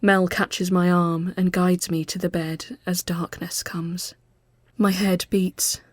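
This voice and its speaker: A woman reading a literary ghost story as scripted narration, measured and often hushed as phrases end. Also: fairly low pitch, level tone, dry recording, studio quality.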